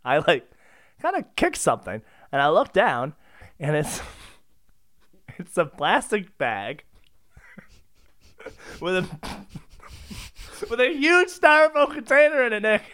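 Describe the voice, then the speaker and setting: Excitable, high-voiced man telling comic stories. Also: slow pace, pauses often. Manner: giggly, excitable, protesting. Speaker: podcast co-host. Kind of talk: casual comedic storytelling.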